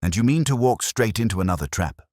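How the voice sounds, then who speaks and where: A man voicing a gruff, wary male police inspector in an audiobook. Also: on the low side, expressive, quick pace, darkish tone, no room sound, clean studio sound, voice pushed up. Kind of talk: audiobook character voice.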